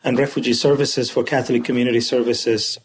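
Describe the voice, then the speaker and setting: A middle-aged man from Somalia, a refugee services director, recalling his past in a halting, emotional way with many pauses. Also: mid-range voice, level tone, slightly rough. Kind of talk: interview recollection.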